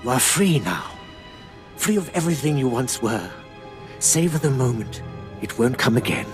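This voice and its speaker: An older actor playing a solemn, ceremonial cult elder in a video game, speaking gravely and deliberately. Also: male voice, mid-range voice, sing-song, over music, close to an everyday voice. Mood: reverent, approving. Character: solemn old cult elder testing initiates. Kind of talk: scripted video game dialogue.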